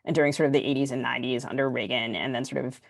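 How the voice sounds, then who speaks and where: A woman's voice: very deep voice, steady tone, very fast, vocal fry, no room sound, clean studio sound. An American woman co-hosting a podcast, talking informally and discursively as she thinks aloud. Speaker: podcast co-host. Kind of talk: casual panel discussion.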